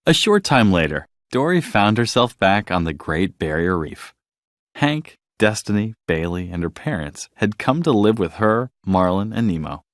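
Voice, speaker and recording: A man reading a scripted children's story as narrator, in an expressive, dramatic storytelling manner. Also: fairly low pitch, moderate pace, dry recording, studio quality.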